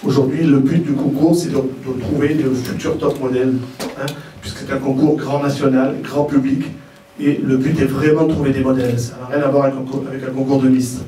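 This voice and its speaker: A man's voice: mid-range voice, flat delivery, brisk, mellow. Man presenting in an echoey room. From Algerian family origin. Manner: hesitant, repeats words while explaining. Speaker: president of a model contest, speaker at press presentation. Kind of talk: informal spoken presentation to press. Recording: roomy echo, distant mic, faint noise.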